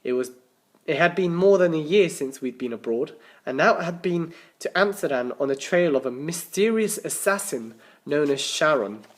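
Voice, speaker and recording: A man reading a children's story aloud as a teacher, with animated, dramatic emphasis and the odd stumble over words. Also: on the high side, moderate pace. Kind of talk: expressive children's storybook narration.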